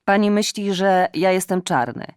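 A woman's voice: mid-range voice, lively intonation, normal speed, smooth, no room sound, clean studio sound, lightly acted. A woman voicing a servile, cheeky male talking cat in a spoken story. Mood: fawning.

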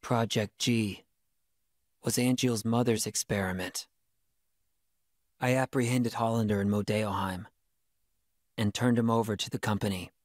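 An actor playing a young, earnest but brash and disillusioned elite soldier in a video game. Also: male voice, fairly low pitch, fairly even intonation, unhurried, long pauses, dry recording, studio quality, natural voice. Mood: weary, disillusioned. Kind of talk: video game cutscene acting.

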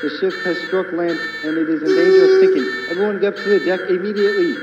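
Chief mate played by a man, urgent and commanding, high voice over muffled ship tannoy, horror game. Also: fairly even intonation, fast talker. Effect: ship loudspeaker. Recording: muffled echoing loudspeaker under loud alarm music.

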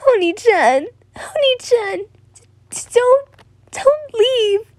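A woman's voice: very high voice, expressive, slow pace, no echo, voice pushed up, full character voice. A woman voicing a clingy, desperate little boy who sobs and wails his stammered pleas. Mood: wailing.

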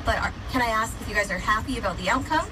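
High-voiced woman hosting radio, noisy recording. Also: very fast, distant mic. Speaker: radio host interviewer. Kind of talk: casual radio interview. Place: radio studio.

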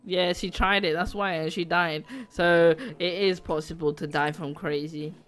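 A man's voice: a little high, fairly even intonation, a little slow, fairly bright tone. Man faking a whiny little boy gamer. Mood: matter-of-fact. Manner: childish grammar, stumbling, indignant. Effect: kid voice changer. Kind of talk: casual gaming chat, prank.

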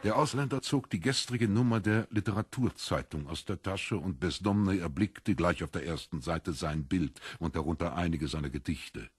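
A man's voice: deep voice, normal speed, few pauses, breathy edge, no echo, studio quality. A man reading scripted literary narration for a German audio drama.

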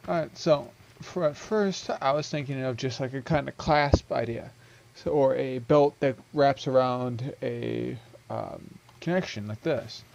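A man's voice: mid-range voice, a little slow, creaky, a little mellow, highs cut off. A young man casually walking through a game build he made, rambling and correcting himself with many ums, into a headset mic at home. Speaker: gaming video creator.

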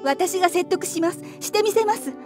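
A woman's voice: very high voice, animated, normal speed, music in the background. A woman playing a refined, commanding actress with formal, ladylike, insistent speech in a Japanese audio drama. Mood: pleading, resolute. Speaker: voice actor.

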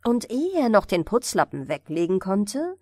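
A woman's voice: mid-range voice, big pitch swings, moderate pace, a little mellow, dry recording, clean studio sound. A woman storyteller narrating a scripted bedtime fairy tale for an audiobook.

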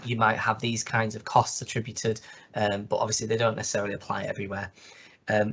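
A slightly hoarse man, walking through a spreadsheet on a screen share with many ums and restarts. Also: medium pitch, a bit bright. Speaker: consultant presenting a toolkit.

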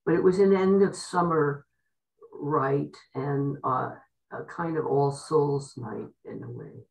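Woman, gravelly creak, halting reflective reminiscence, distant video-call microphone. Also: low-pitched, a little mellow. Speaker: former member of a women's arts colony.

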